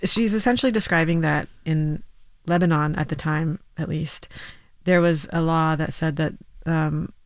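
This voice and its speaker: Gravelly-voiced reflective woman musician. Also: deep voice, steady tone, normal speed, hardly any pauses, no room sound. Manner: reflective, hedging with many restarts and "like". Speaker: musician guest. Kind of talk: radio interview.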